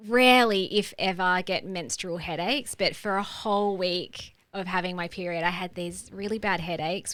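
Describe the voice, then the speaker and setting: A woman, co-hosting a podcast and telling a personal story in an open, chatty way, often laughing and filling pauses with "like". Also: lowish voice, sharp tone, smooth, no echo. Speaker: podcast co-host.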